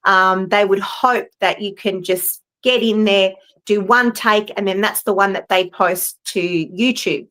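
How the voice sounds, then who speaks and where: A woman's voice: mid-range voice, fairly even intonation, measured pace, hardly any pauses, fairly bright tone. A middle-aged woman, a professional singer, talking casually on a video call, rambling with many fillers and restarts. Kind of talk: casual roundtable chat. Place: home, live-streamed video call.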